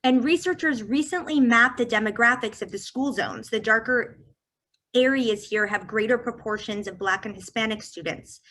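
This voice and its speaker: A woman from California giving a prepared talk as guest speaker, walking through figures on slides in a measured way. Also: fairly high pitch, bright, forceful tone.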